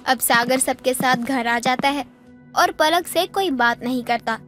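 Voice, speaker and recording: A woman's voice: sits high, measured pace, fairly bright tone, soft background music. A woman narrating a scripted moral story.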